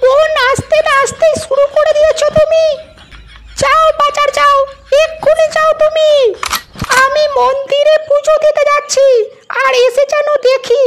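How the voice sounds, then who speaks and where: A performer voicing a young, bossy Bengali woman in a comic cartoon, with a squeaky, artificially high voice. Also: level tone, normal speed. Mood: scolding. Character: bossy scolding young Bengali wife and her giggly younger sister. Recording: music behind the voice.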